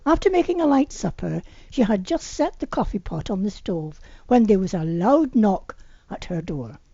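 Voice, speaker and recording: A woman's voice: medium pitch, big pitch swings, normal speed, mellow, no room sound, lo-fi sound. A woman reading her own fiction aloud as an amateur audiobook narrator, occasionally stumbling over words. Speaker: author reading their own novel aloud.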